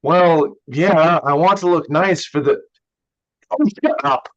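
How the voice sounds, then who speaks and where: Dull recording, actor as slow-talking blunt young man. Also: on the high side. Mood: flustered, sardonic. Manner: hesitant, blunt, swearing. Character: wounded, sardonic young man, younger brother and estranged son. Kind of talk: staged reading of a contemporary play.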